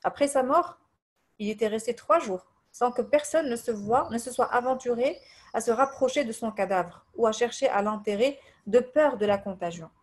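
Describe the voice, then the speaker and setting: A woman from Tunisia whose first language is Arabic, giving a religious lesson in French, hesitating often with fillers, reading translations and reciting verses quickly. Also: a little high. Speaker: religious teacher. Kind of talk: religious lesson over voice messages.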